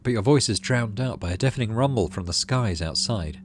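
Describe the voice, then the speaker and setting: A man's voice: fairly low pitch, expressive, fairly quick, slight background noise. A man hosting a gaming playthrough, reading story text aloud and adding casual commentary. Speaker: gaming channel host.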